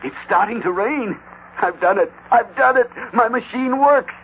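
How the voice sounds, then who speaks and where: An actor playing a grandiose, obsessed elderly inventor in a radio drama, speaking in a fervent, theatrical manner. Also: male voice, extremely high pitch, normal speed. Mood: dazed, elated. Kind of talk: 1940s-50s radio drama acting. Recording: tinny, noisy old radio recording.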